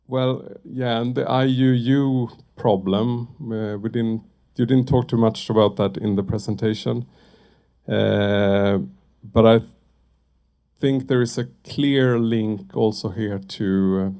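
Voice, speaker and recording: Slow, gravelly low-voiced man. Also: steady tone, mellow. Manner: slow and halting, many fillers. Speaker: panelist. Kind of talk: panel discussion remarks.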